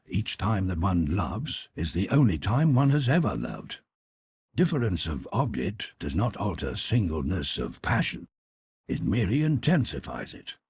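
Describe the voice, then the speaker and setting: A man's voice: on the low side, a little mellow, slightly rough, voice pushed up, heavily acted. A man reading a novel aloud, voicing a cynical, worldly English lord who drawls out clever sayings. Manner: languid, worldly, drawling aphorisms. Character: cynical epigram-loving English lord, middle-aged. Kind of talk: audiobook reading.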